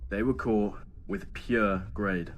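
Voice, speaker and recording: A man's voice: fairly low pitch, fairly even intonation, slow pace. A man playing a guarded, bitter underground club boss in an audio drama, terse and pointed. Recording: faint ambient noise behind.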